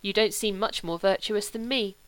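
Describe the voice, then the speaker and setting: A woman's voice: medium pitch, moderate pace, dry recording, lightly acted. A woman reading an audiobook, voicing a skeptical young Englishman who argues in a dry, reasoning way.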